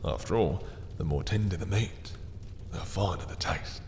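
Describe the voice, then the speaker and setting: A low-pitched, dominant vampire acted by a man speaks with silky, mocking politeness, lingering over his words. It is an intimate, obsessive audio-drama performance. His voice rings in long reverb, with some noise behind it. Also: normal speed. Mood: taunting. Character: dominant, obsessive male vampire taunting a captured hunter.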